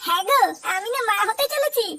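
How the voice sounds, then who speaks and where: A coy young cartoon wife. She pleads in a naive, simpering way over clear background noise. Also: very high voice, expressive, quick pace. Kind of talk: comic cartoon dubbing.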